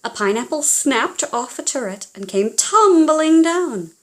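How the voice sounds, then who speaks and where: Female children's storyteller, unhurried and expressive, dramatic delivery with hushed moments. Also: sits high. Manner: animated, dramatic, with hushed passages.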